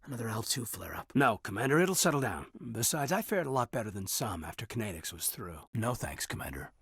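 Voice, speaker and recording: Casting: loyal military officer for a sci-fi game, husky and dry-recorded, earnest, measured, naturalistic delivery. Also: male voice, mid-range voice, sing-song, fairly bright tone, no echo. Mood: serious, weary. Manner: sincere, measured, slightly hesitant. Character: earnest, loyal adult male space marine officer. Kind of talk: naturalistic video game dialogue acting.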